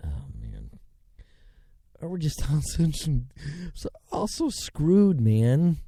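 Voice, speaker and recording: Sarcastic ranting man with a lively voice. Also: fairly high pitch, takes it slowly, creaky, no room sound, studio quality. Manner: sarcastic, rambling, swings between mutters and outbursts. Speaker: show host. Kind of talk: ranting commentary and news reading.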